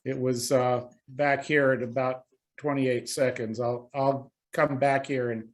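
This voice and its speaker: Halting man giving a technical presentation. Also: mid-range voice, flat delivery. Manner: halting, hesitant delivery. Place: video conference call.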